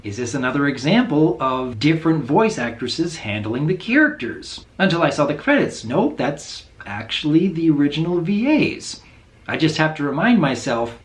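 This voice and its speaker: A reviewer giving casual, wry commentary on animated episodes. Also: medium pitch.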